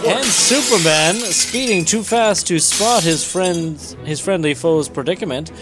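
A man's voice: sits high, measured pace, loud music behind the voice. A man co-hosting a podcast, reading comic-book narration aloud in a theatrical, comedic announcer style.

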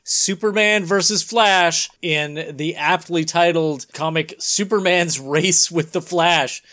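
Male podcast host, lighter higher register, casual comedic banter. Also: slow pace, pushed and bright.

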